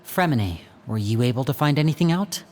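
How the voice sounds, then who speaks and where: A man voicing a young stage magician in a game who tells stories smoothly and turns grave when he speaks of the rules. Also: lively intonation, fairly quick, darkish tone, slight background noise, natural voice. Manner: smooth storyteller, turns serious and low. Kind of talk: game voice acting.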